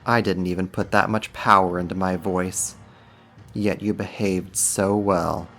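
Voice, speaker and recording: A man acts out a mighty archdemon in an ASMR roleplay, with a deep voice and an unhurried, deliberate delivery. He is smug, menacing and theatrically taunting, with music playing underneath. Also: steady tone, fairly bright tone. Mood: dominant, taunting. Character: powerful male archdemon, mocking, menacing, smugly dominant.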